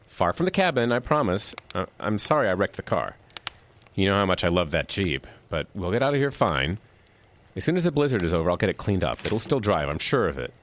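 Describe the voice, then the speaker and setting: An actor playing a stubborn, reassuring boyfriend in an audio drama, with an emphatic, expressive delivery. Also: male voice, low-pitched, a little fast, a little hiss or hum. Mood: anxious reassurance. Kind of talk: audio drama acting with inner-monologue narration.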